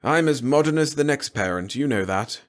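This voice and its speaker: A man's voice: medium pitch, expressive, normal speed, voice pushed up, natural voice. A man voicing an exasperated, protective middle-aged father in a storybook, speaking with emphatic indignation.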